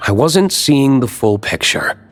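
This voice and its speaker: A man's voice: medium pitch, very animated, unhurried, fairly bright tone. A man playing a guarded, brooding nobleman and former knight in a video game, reserved, terse and serious. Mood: resolute, serious. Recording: background music under the voice.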